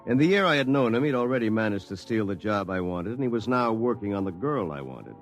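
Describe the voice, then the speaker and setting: Wry lawyer narrator, 1950s radio style: low, deadpan, over background music. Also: male voice, fast. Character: wry, hapless young lawyer telling his own story. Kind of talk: 1950s radio acting, first-person narration.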